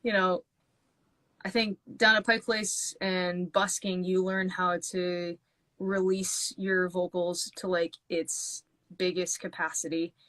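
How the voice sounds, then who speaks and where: A woman guest talks about her music in a loose, rambling way, often restarting her sentences. Her voice sits a little low. The sound is dry and close, like a video call. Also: little pitch movement, slow pace, a bit bright, no echo. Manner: rambling with many restarts and fillers. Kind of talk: casual interview chat.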